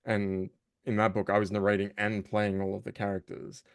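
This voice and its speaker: A man with a low, gravelly voice talks about his work as an audiobook narrator and voice actor. He chats casually, feeling his way through his thoughts with lots of fillers and restarts. The sound is dry and close. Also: monotone, no room sound. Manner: halting, thinks aloud with many fillers. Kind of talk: casual interview chat.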